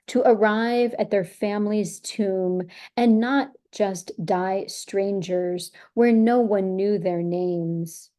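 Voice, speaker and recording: A woman reading a translated poem in a measured, solemn way, then thanking listeners. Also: mid-range voice, mellow. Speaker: translator and reader. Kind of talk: slow poetry reading.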